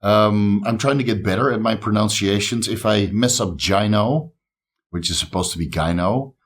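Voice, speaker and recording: A man with a Dutch accent talks to his viewers in a fairly low voice, casual and encouraging. He has a slight speech impediment and stumbles now and then over English words, which is not his first language. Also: moderate pace, husky. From the Netherlands. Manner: upbeat, encouraging. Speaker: YouTube host. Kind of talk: casual talk to camera.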